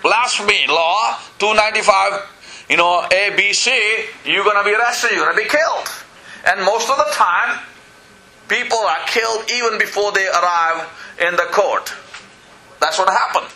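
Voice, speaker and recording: A man's voice: sits high, moderate pace, pushed and bright, low-quality recording. A man from Pakistan, preaching a sermon in church, earnest and full of "you know" and calls of "Amen?". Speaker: pastor.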